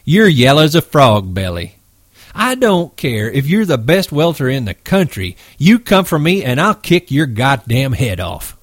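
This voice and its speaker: A man's voice: mid-range voice, sing-song, unhurried, no room sound, clean studio sound, voice pushed up. A man doing a sneering, crude ranch hand. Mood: contemptuous. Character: rough middle-aged ranch hand, contemptuous.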